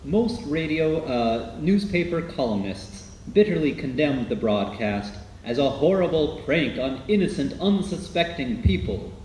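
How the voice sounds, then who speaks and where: A man's voice: on the high side, lively intonation, unhurried, hardly any pauses, darkish tone. A man narrating a scripted radio documentary in a dramatic, announcer-like way. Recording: echoey, faint background noise.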